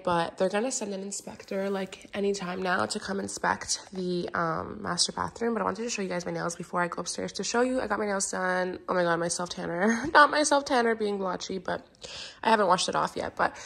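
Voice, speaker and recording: Raspy congested young woman vlogging. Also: mid-range voice, normal speed, a bit bright. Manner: bubbly, gushing, many fillers like "yeah" and "like". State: congested, stuffy nose. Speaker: lifestyle vlogger. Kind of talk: casual chatty vlog to camera.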